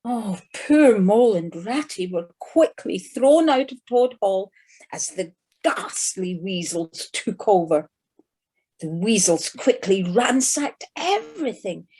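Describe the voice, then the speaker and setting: A woman with a husky voice narrating a scripted children's story for an audio play. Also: mid-range voice, dark tone.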